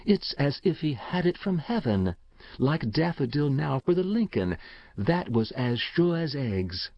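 A man's voice: medium pitch, big pitch swings, moderate pace, muffled recording, voice pushed up. A man voicing a loyal, respectful working servant in a storybook reading, chatty, confiding and reverent. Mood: secretive, reverent.

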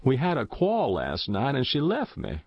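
A man's voice: medium pitch, wildly swooping pitch, moderate pace, dry recording, pitched above the performer's own voice. A man voicing a thin, confused old farmer, eager and lost, with old rural speech. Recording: dull muffled dry recording.

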